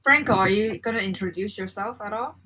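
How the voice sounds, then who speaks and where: A woman from Hong Kong speaks as a panelist. Her voice sits at a middle pitch and her style is casual. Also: a little fast, bright, forceful tone, slight background noise. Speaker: panelist, head of product design. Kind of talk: casual self-introduction.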